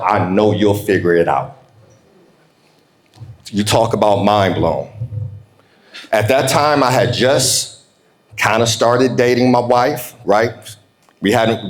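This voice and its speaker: An American man speaking informally and directly to an audience as he gives a motivational keynote talk. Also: medium pitch. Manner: conversational, informal, addresses listeners directly. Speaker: keynote speaker, entrepreneur coach.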